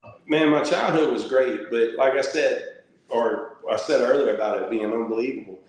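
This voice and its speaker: A man's voice: medium pitch, normal speed. A middle-aged man, chatting casually as an interview guest in a folksy, plainspoken way. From rural Louisiana, United States. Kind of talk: casual reminiscing conversation. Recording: very echoey, reverberant room sound.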